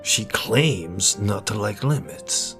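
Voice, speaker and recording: A man's voice: fairly low pitch, expressive, slow, creaky, a bit bright, faint music behind, heavily acted. A man playing a practical, grudging and inquisitive tinkerer in an audio drama, blunt and questioning. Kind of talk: amateur audio drama acting.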